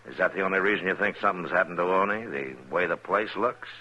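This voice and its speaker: An actor playing a steady, weary town marshal in an old-time Western radio drama, dry and patient. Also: male voice, sits low, fast talker. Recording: dull vintage radio recording, saloon chatter behind.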